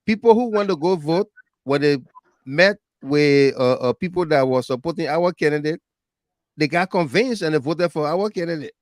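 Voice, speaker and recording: A man's voice: a little high, normal speed, no room sound. A man hosting a casual talk show, easygoing and repeating words as he steers the conversation. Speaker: host.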